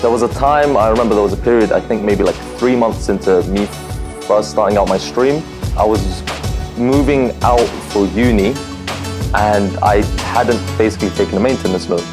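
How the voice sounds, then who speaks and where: A young man from Lebanon, telling his story as a streamer, candid and animated, full of fillers. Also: fairly low pitch, moderate pace. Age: young adult. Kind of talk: personal interview storytelling. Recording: music bed under voice.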